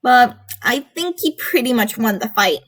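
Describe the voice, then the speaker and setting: A woman voicing a frank, matter-of-fact teenage frog-like girl hero, deliberate in her delivery, in a story reading. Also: on the high side, expressive, a bit bright.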